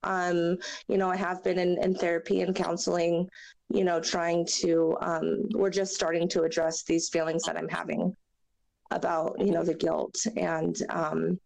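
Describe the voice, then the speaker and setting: Raspy, hoarse young woman, earnest. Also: fairly low pitch, flat delivery, darkish tone. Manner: halting and earnest, many fillers, near tears. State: hoarse from a chest cold. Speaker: interview guest. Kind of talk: personal testimony in a podcast interview.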